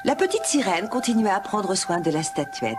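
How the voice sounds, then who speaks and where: A woman narrates a children's fairy tale as a storyteller. Her mid-range voice moves with animated intonation through scripted lines. Music is clearly present behind her. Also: medium pitch, normal speed.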